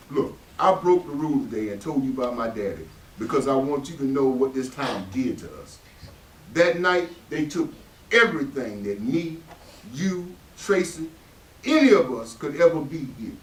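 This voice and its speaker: A man's voice: mid-range voice, very animated, normal speed, slight background noise, lightly acted. A man with a Southern American accent playing an embittered, protective father in a stage reading, in emphatic folksy speech. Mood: earnest, tender. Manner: emphatic, folksy vernacular speech with dramatic swells. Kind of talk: stage readers theatre acting. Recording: echoey room, distant stage microphone.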